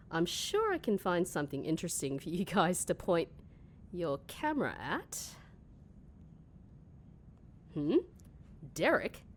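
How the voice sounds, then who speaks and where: A woman's voice: lowish voice, a little hiss or hum. A woman playing a flustered office worker hiding a crush in a comedy audio drama. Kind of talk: mockumentary-style comedy audio drama, talking to camera.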